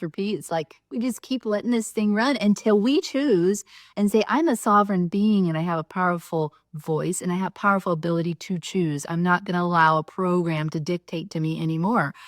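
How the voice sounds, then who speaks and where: A woman's voice: fairly low pitch, dry recording, studio quality. A woman co-hosting a spiritual talk show, encouraging and affirming listeners. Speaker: co-host.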